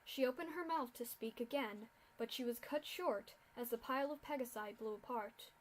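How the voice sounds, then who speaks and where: A woman reading a dark fan fiction story aloud in steady narration. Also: on the high side, normal speed, slight background noise, poor audio.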